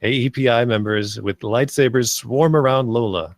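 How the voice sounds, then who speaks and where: A man's voice: medium pitch, normal speed, dry recording, studio quality. A man narrating stage directions at a live script table read.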